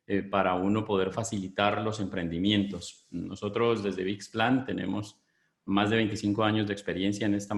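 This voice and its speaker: A middle-aged Colombian man with a Colombian Spanish accent, giving a business webinar in a measured, explanatory way, often pausing on fillers. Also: lowish voice, reverberant. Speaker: business consultant presenting a webinar. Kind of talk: webinar presentation.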